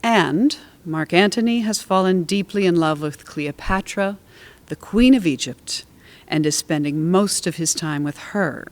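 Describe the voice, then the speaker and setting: A woman with a markedly low voice speaks as a theater scholar. Her delivery is measured and articulate, thoughtfully explaining her subject to listeners. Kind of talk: explanatory discussion and spoken introduction.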